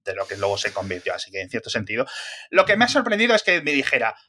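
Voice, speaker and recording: Man, bright tense voice, animated podcast chatter, jokey asides. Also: medium pitch, quick pace. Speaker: podcast host. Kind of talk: casual tech podcast chat.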